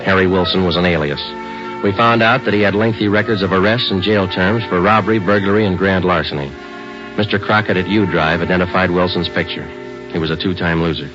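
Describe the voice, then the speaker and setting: A man playing a terse, matter-of-fact police detective sergeant, narrating and questioning in a clipped deadpan for a 1950s radio drama. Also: low voice, a little fast, lightly acted. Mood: flat, businesslike. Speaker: narrator and lead actor. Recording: muffled old radio recording with music.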